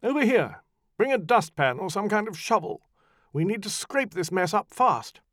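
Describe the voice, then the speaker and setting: Man playing bossy woman magician, high raised voice, brisk, sharp, commanding, lively pitch, dry sound. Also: no room sound. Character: imperious, impatient woman magician.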